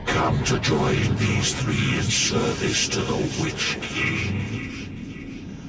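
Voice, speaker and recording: An actor playing a menacing undead wraith lord in a fantasy game, hissing ominous pronouncements in a breathy rasp over loud music. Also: male voice, very slow. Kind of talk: dramatic fantasy game acting.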